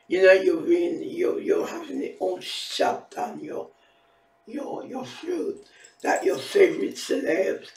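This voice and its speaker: Hoarse fifty-something woman rambling to camera, slow and gravelly, kinda far off in an echoey room. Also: medium pitch, a little mellow. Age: 50s. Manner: rambling, repeats phrases. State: hoarse, losing voice. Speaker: vlogger. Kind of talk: casual vlog monologue.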